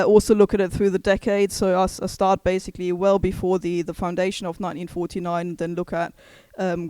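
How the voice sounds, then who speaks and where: Measured woman historian, smooth voice. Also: fairly low pitch, mellow, no echo. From East Germany. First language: German. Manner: thoughtful, hedging with many fillers and restarts. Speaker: historian and author. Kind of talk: book launch talk.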